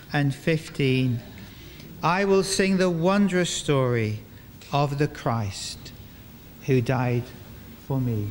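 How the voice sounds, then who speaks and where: A man's voice: on the high side, slight background noise. An older Englishman leading a church service, moving between informal, hesitant directions and formal liturgy. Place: inside a parish church. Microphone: microphone on a stand. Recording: echoey church, long reverb.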